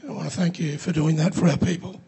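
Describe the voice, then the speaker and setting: A man with a croaky voice, asking a question from the audience in a halting way with many ums. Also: on the high side, steady tone, mellow.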